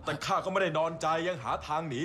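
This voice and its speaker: An actor playing a big, gruff, scolding giant ogre in an animated film. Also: male voice, fairly high pitch, quick pace, faint music behind. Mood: exasperated.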